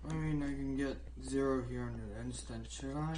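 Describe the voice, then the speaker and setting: A person improvising casual role-play on a game stream in a halting way, often trailing off. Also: medium pitch, warm tone, slight background noise.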